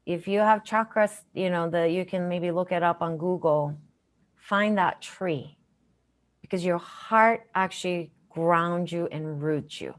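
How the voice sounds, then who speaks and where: Slow, low-pitched woman guiding meditation. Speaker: meditation teacher, session host. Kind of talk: guided heart meditation teaching, unscripted.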